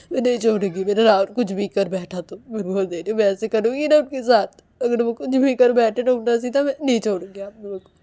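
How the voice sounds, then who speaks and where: A woman voicing a fierce young wife who loudly and insistently repeats her threats to protect her husband. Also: lowish voice, slightly rough, no echo.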